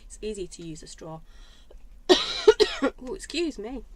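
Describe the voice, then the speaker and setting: A woman hosting a live craft stream, showing handmade cards and greeting viewers by name in a chatty, enthusiastic way, her voice a little croaky. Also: mid-range voice, big pitch swings, a little slow. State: slightly croaky voice. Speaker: craft stamping demo host.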